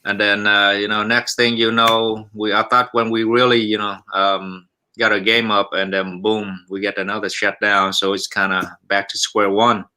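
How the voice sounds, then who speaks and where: A Vietnamese-born man in his forties, a restaurant owner, talking in a halting, polite way with many restarts and repeated "yes, sir". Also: on the low side, flat delivery, sharp tone, smooth. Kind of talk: casual interview chat.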